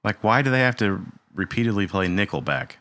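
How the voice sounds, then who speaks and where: A man with a very low voice that still sounds bright and edgy, chatting easygoingly on a podcast. The sound is close and dry, and he drops in jokey asides. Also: lively intonation, fairly quick, no echo. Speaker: podcast co-host. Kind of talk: casual chat.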